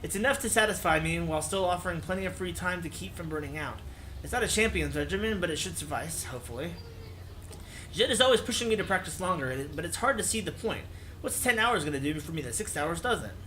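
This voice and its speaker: A man's voice: a little high, animated, fast talker, bright, forceful tone, slight background noise, rough recording. A man hosting a let's play, reading a visual novel aloud and adding casual commentary. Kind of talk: game narration and casual commentary. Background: background music.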